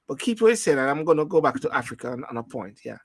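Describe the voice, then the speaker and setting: A man's voice: mid-range voice, very animated, quick pace, breathy edge, no room sound, studio quality. A man hosting a casual rum-bar style talk show, rambling and repeating himself as he loses his thread.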